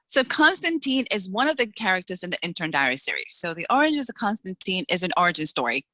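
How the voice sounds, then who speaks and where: Bright, chatty woman from the Dominican Republic. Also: lowish voice, big pitch swings, no echo. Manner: quick, chatty, laughs often. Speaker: guest author. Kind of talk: casual interview chat.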